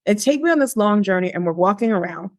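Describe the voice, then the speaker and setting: A woman guest on a podcast, telling a personal story in a lively, conversational way, often pausing on "like". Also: mid-range voice, dry recording, clean studio sound. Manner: animated, lively quoting of her younger self; frequent "like" fillers and restarts.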